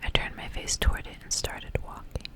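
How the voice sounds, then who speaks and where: A woman reading a story aloud in an ASMR style, whispering softly and without hurry. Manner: whispering, soft and unhurried storytelling. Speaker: ASMR reader.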